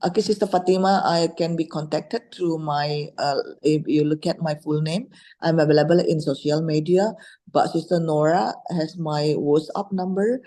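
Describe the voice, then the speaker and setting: A woman's voice: low voice, fairly even intonation, normal speed, warm tone. A middle-aged woman with an Indonesian accent telling personal stories as a guest speaker, hesitating and rephrasing as she goes. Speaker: guest speaker, Islamic scholar. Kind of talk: personal storytelling in an online talk.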